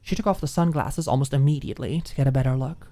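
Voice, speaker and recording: A woman's voice: a bass voice, fast, mellow, no room sound. A woman narrating a scripted story in an ASMR audiobook style.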